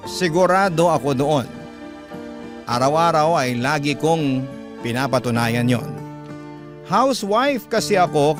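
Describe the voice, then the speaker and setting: A man hosting and narrating a radio-style drama with a dramatic, drawn-out delivery. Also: fairly high pitch. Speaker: host and narrator. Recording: music bed under voice. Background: clear instrumental music.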